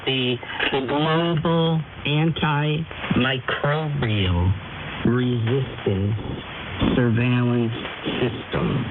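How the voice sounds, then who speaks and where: A woman guest explaining things in a radio conversation, halting and repeating words for emphasis. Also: extremely low pitch. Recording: very noisy, tinny phone-like radio line.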